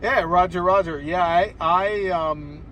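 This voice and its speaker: An American man chats casually on ham radio from inside a car. His voice sits a little high. The mic is some way off, with faint noise around him. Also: measured pace, fairly bright tone, far from the mic. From Southern California, United States. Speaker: amateur radio operator.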